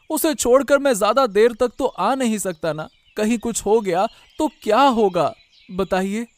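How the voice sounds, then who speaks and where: An earnest, protective young husband from a cartoon, played by a man. His voice is high for a male, and he speaks emphatically, often doubling back on his words. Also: dry recording. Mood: pleading. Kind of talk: Hindi cartoon dialogue acting.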